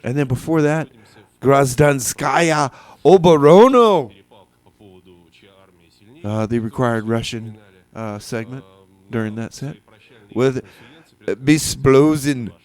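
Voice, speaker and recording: A man's voice: medium pitch, lively intonation, takes it slowly, a little mellow. A man hosting a music radio show with casual, hesitant, tongue-in-cheek patter, often repeating phrases for effect. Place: radio studio.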